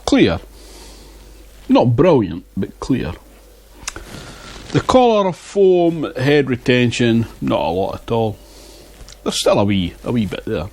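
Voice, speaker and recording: A man's voice: medium pitch, sing-song, takes it slowly, pauses often, a little mellow, breathy edge. A man reviewing beer in a casual vlog, chatting and muttering asides to himself on a lapel mic. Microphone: wireless lapel mic.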